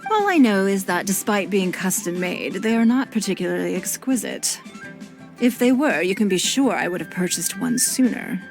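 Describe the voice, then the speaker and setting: A woman voicing a polite, composed adult woman who speaks formally and in measured phrases. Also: medium pitch, lively intonation, natural voice. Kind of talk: game cutscene voice acting. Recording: game audio with faint music.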